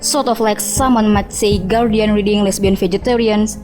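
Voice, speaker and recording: A woman's voice: medium pitch, steady tone, moderate pace, darkish tone, faint music behind, natural voice. A woman playing an offended junior employee giving hesitant, informal testimony in a radio drama. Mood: hesitant, explaining.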